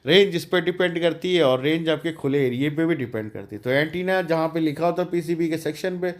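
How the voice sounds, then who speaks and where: A man's voice: on the high side, fairly quick. A man giving a casual, step-by-step hands-on tutorial, explaining informally and mixing in English technical words. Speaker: DIY electronics tutorial host.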